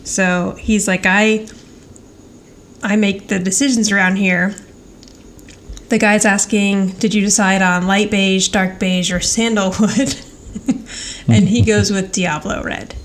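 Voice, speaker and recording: A woman's voice: medium pitch, fairly even intonation, takes it slowly, long pauses, a little hiss or hum. A woman co-hosting a podcast, recapping scenes in detail in a casual, conversational way. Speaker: podcast co-host. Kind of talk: casual episode recap chat.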